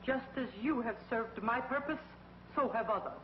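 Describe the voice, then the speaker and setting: An actress playing a cold, scheming witch villain in a 1950s TV drama, speaking with commanding, precise diction over loud music. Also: on the high side, moderate pace, dull audio, background noise, natural voice. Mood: silkily menacing.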